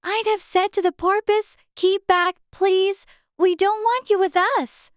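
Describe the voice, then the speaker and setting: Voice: very high voice, steady tone, measured pace, no room sound, studio quality, pitched above the performer's own voice. A narrator voicing a polite, curious and slightly timid young girl in a storybook reading. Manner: prim, wondering.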